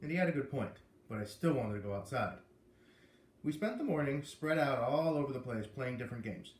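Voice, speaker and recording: A man reading a children's novel aloud in an expressive storytelling way. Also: medium pitch, brisk. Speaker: read-aloud reader. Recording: echoey room.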